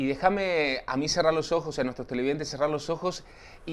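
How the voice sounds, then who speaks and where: A man with a Buenos Aires Argentine accent, asking questions conversationally as a television interviewer. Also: mid-range voice. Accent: Buenos Aires area Argentine Spanish.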